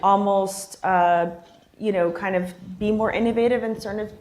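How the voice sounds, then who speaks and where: A woman's voice: mid-range voice, steady tone, moderate pace, darkish tone. A woman speaking on a conference panel, explaining her points with frequent fillers. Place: conference hall.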